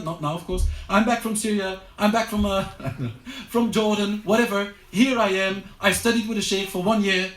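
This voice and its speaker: Man, high-pitched voice, earnest exhortative lecture, frequent restarts, roomy echo. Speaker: religious teacher. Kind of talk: lecture to a study circle. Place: lecture room.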